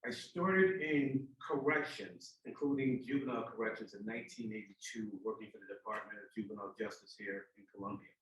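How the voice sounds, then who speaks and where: A man's voice: on the low side, fairly quick, few pauses, fairly bright tone, a little hiss or hum. Man in his 60s, New England-tinged Northern accent, candid public speaker, echoey room, a bit far from the mic. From Charleston, South Carolina. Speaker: corrections official, guest speaker. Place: indoor room at a public forum. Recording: echoey room, distant microphone.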